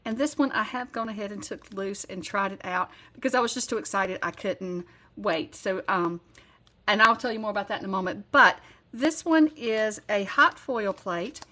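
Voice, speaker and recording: Southern-accented woman hosting a craft channel; bright, chatty, rambles and corrects herself. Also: medium pitch, normal speed. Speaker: card-making channel host. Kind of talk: casual craft tutorial.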